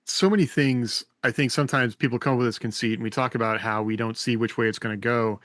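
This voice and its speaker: Fast-talking man, close dry sound. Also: medium pitch, fairly bright tone, no echo. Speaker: podcast co-host. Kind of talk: casual panel chat.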